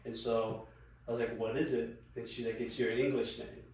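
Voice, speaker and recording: A man's voice: mid-range voice, normal speed. A man in his late twenties, born in South Korea and raised in Los Angeles, telling his story casually as an interview guest, with easy laughs and lots of fillers. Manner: relaxed, laughs easily, many fillers. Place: office room. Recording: echoey room, distant mic, faint noise.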